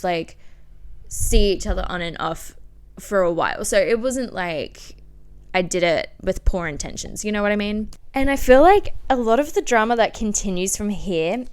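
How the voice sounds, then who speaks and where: Clear-voiced young woman, candid and chatty. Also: medium pitch. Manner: animated, slangy, self-mocking, acts out quoted remarks. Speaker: podcast host. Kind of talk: casual confessional storytelling.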